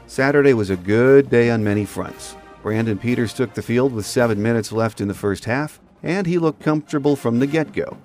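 A man's voice: medium pitch, moderate pace, few pauses, darkish tone, faint music behind. An American man hosting a sports podcast, delivering scripted commentary as a monologue. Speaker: podcast host.

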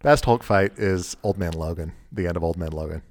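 A man with a fairly low voice, recorded dry with no room sound. He talks as a podcast co-host in an informal, conversational way. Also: fairly quick, a little mellow, no echo. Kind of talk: casual chat.

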